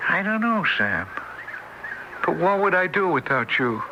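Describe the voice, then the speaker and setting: A man's voice: high-pitched, big pitch swings, unhurried, dull audio, noisy background. An actor playing a timid, fretful ghost of an old father, hesitant and stammering, in an old-time radio drama. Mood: resigned.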